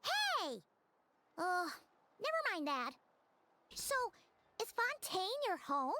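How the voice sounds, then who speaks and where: Tiny squeaky childlike fairy sidekick from a game, super high n bouncy, exclaims a lot. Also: female voice, wildly swooping pitch, slow, background noise, heavily acted. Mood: excited. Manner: refers to herself by name, exclamatory. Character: tiny excitable childlike floating fairy companion. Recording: game music behind the voice.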